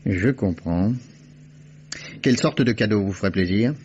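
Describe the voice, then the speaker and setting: Insistent gentleman sleuth, played by a man, lowish pitch, polite and probing, vintage radio drama with faint hiss. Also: measured pace, natural voice. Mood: conceding, bargaining. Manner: probing, polite but pressing. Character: insistent, urbane man investigating to save a condemned man. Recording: dull, noisy old radio recording.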